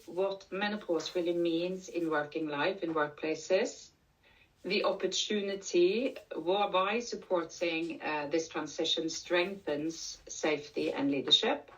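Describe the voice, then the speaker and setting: A woman's voice: lowish voice, distant mic, dull audio. A middle-aged woman from Norway with a Norwegian accent, giving an earnest conference talk as a coach and repeating key points for emphasis. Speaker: menopause coach and speaker. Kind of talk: conference presentation.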